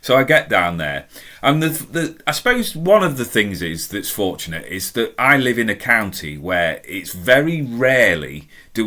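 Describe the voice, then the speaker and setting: A middle-aged man with a deep, strong voice, telling a personal story in a chatty, self-deprecating, emphatic way. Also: bright, forceful tone. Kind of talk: casual anecdotal storytelling.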